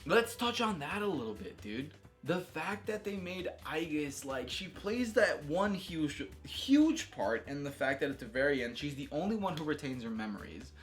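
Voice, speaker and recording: Male video host, slightly high voice, excitable slangy delivery, off-the-cuff gaming commentary over music. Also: moderate pace, few pauses. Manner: enthusiastic, slangy, with emphatic exclamations. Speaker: YouTube host reviewing a video game. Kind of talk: casual, unscripted video game commentary.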